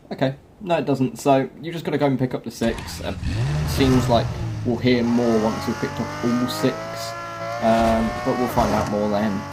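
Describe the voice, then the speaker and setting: Chatty man doing game commentary over noise. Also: medium pitch. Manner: chatty, step-by-step explaining to viewers. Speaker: gaming YouTuber presenting a quest guide. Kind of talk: video game walkthrough commentary. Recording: game sound clearly behind voice. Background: video game ambience and vehicle noise.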